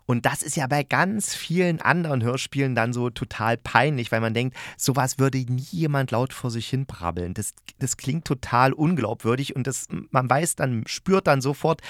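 A man's voice: mid-range voice, no echo, clean studio sound. A man hosting a review show, speaking casually and chattily to listeners, thinking aloud and correcting himself as he goes. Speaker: host of an audio drama review show.

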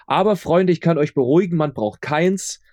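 A man's voice: fairly high pitch, normal speed, dark tone, no room sound. Chatty man in a dry-sounding interview. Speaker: podcast guest, audio drama maker. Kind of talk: casual interview chat.

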